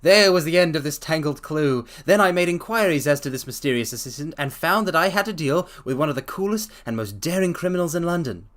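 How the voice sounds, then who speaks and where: A man's voice: on the high side, animated, a little fast, hardly any pauses, fairly bright tone. A man voicing a brilliant, languid detective explaining his deductions in a theatrical storybook reading. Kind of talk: dramatic reading.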